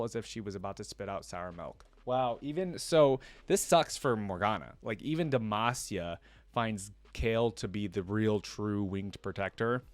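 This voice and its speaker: A man with a fairly low voice reads a story aloud for a reaction video. His telling is expressive and slips into casual commentary. The sound is dry, with little room around it. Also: dry recording.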